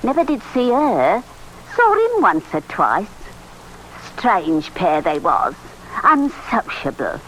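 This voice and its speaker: Gossipy country innkeeper, swooping intonation. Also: female voice, fairly low pitch, huge pitch swings, slow, a bit bright, heavily acted. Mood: ominous, gossipy. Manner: folksy, insinuating. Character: gossipy, ominous village innkeeper woman. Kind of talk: old-time radio drama acting. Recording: noisy vintage radio recording.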